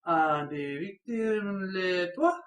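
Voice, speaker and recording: A reviewer doing a mock tour guide who introduces his crew in a clumsy, overdone fake French accent. The voice sits at a middling pitch and bounces around a reverberant room. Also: lively intonation, slow, fairly bright tone.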